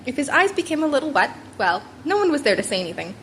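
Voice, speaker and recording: Female amateur audiobook narrator, animated and informal, occasional stumbles, faint background noise. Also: high-pitched, fast talker. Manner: informal, stumbling over words and rereading. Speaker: fan fiction audiobook reader. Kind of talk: expressive scripted story narration.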